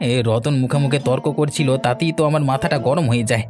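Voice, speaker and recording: A man's voice: mid-range voice, fast, over music, lightly acted. A man playing a swaggering, threatening village bully and henchman in a cartoon. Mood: whiny, excusing. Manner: threatening, taunting. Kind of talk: cartoon dialogue acting.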